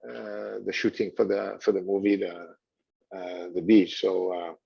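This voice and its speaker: Man, halting and filler-heavy. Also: low voice, flat delivery, creaky, warm tone, husky. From Switzerland. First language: French. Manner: halting, many "you know" fillers and repeated words. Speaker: hotel general manager. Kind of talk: casual interview answers. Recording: dull lo-fi audio.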